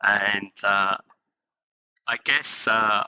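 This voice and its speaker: A man from England, a company's chief executive, answering interview questions in halting phrases with many fillers. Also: on the low side, sharp tone, clean tone, dull audio. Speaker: guest, company CEO.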